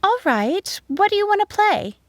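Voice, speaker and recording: Woman acting kindly country schoolteacher, brisk, lilting instructions, high pitch, storybook, dry recording. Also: sing-song, dry recording, full character voice. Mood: encouraging. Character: kindly, brisk young female country schoolteacher addressing pupils.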